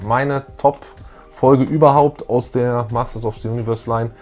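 Casting: male co-host, middle-aged, mid-pitched, casual and enthusiastic, light music behind. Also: fairly even intonation, measured pace, darkish tone. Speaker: co-host of retro fan show. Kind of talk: casual enthusiastic fan chat. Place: small room full of collectibles, indoors.

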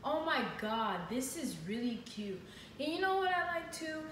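Young woman vlogger, playful and slangy, unhurried, roomy far-off sound with audible background noise. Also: sits high, big pitch swings, fairly bright tone. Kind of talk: casual clothing haul. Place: living room, indoors. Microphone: built-in camera mic from across the room. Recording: echoey room, voice off-mic, ambient noise.

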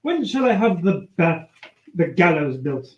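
An amateur performer playing a vain, vengeful male royal official in an old-time radio play, with declamatory, halting delivery. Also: mid-range voice, very animated. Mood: scheming. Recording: dull, roomy amateur recording.

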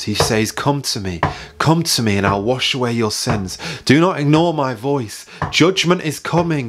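A British man in his thirties preaching an evangelistic sermon in an earnest, pleading manner, with emphatic repetition and dramatic pauses. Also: on the low side. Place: small home room, indoors. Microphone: boom-arm microphone off to the side. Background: faint background music.

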